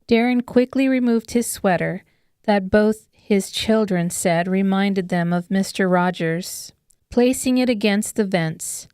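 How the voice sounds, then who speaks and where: A woman's voice: medium pitch, darkish tone, clean tone, dry recording, studio quality. A woman reading scripted, dramatic audiobook narration of a story.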